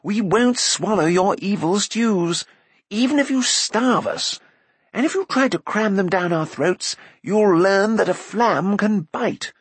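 Man playing an indignant, high-pitched theatrical bard. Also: sing-song, measured pace, fairly bright tone, breathy edge, no echo, exaggerated voice. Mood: indignant fury. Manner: theatrical, scornful, blustering. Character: indignant flamboyant male bard, wordy and dramatic.

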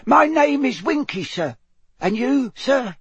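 A man voicing a tiny, timid female house elf servant in an audiobook, squeaky and quivering, deferential and anxious, with broken grammar. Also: sing-song, slightly rough, no echo, highs cut off, heavily acted. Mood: curious, timid. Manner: subservient, broken grammar, drawn-out phrasing.

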